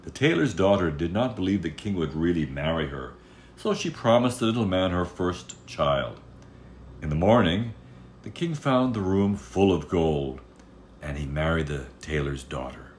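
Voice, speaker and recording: Low-voiced man reading a fairy tale. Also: a little hiss or hum. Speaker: storyteller. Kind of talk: read-aloud fairy-tale narration.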